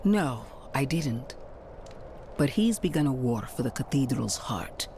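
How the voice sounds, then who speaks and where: An actor playing a grave, righteous leader of holy knights in a game cinematic, speaking in a measured, preacher-like way. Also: male voice, medium pitch, very animated, a little mellow, slight background noise. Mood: solemn, persuasive. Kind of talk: dramatic game cinematic acting.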